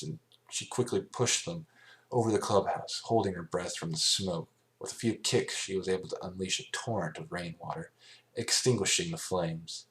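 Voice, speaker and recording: Low-pitched man reading a story. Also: fairly bright tone. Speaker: amateur fan fiction narrator. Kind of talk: scripted story narration.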